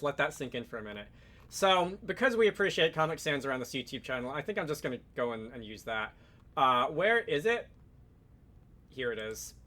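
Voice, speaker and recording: A man narrating a software tutorial casually and informally, thinking aloud as a host with a wry streak. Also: mid-range voice, slight background noise.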